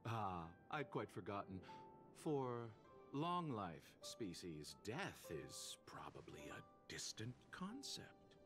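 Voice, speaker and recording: An enigmatic, courteous merchant from a video game. His low voice swings widely in pitch as he speaks in a refined, gently amused way. Clear music plays behind him. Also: sing-song, takes it slowly. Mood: urbane, gentle. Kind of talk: video game character dialogue. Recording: prominent background music under the voice.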